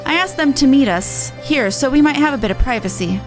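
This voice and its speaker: Woman voicing an earnest, high-voiced game merchant woman. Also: expressive, fairly quick, music in the background. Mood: determined. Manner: earnest, plain-spoken, colloquial. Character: earnest, brisk woman merchant running a resistance charity. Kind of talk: reading video game dialogue in character.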